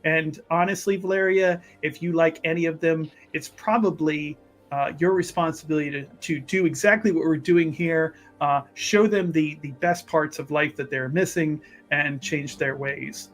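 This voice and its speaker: Guy doing a tipsy opinionated fantasy reveller, wry n rambling, kinda high male voice. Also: fairly even intonation, normal speed, faint music behind, natural voice. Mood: wry complaining.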